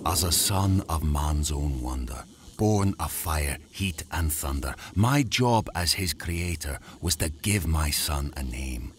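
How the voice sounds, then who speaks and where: Tormented mad scientist, bottomless bass, slow and deliberate, grandiose, horror radio play, background ambience. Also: male voice, expressive, noisy background, full character voice. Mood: grave, proud. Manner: grandiose, theatrical, deliberate delivery. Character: proud, tormented mad scientist, father of a monster. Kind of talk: dramatic rhymed monologue, horror audio drama.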